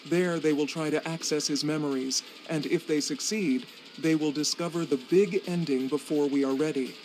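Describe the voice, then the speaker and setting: A man's voice: a little high, fairly even intonation, moderate pace, darkish tone, faint music behind, lightly acted, poor audio. A man voicing an arrogant undead sorcerer with a condescending, precise manner.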